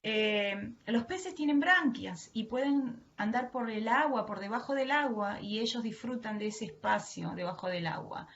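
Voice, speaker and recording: A woman preacher with an Argentine accent and a fairly high voice delivers an online devotional. She speaks earnestly, addressing her listeners with affection. The audio is dull and lo-fi, with faint noise underneath. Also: lively intonation, a little slow, hardly any pauses, fairly bright tone. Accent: Argentine Spanish. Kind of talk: devotional sermon to an online audience.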